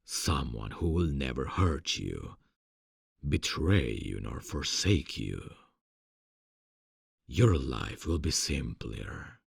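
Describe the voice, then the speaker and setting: A man playing a seductive, possessive devil in an ASMR fantasy roleplay, coaxing formally in a gravelly, husky voice into a close microphone. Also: a bass voice, no room sound, heavily acted. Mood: smooth persuasion. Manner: slow, deliberate, coaxing, formal. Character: seductive, possessive male devil offering a soul contract. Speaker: voice actor. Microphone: close studio mic, dry.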